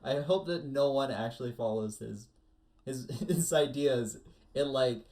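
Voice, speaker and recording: A podcast co-host chatting casually about anime, with animated emphasis and plenty of "like" as filler. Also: medium pitch, a little slow.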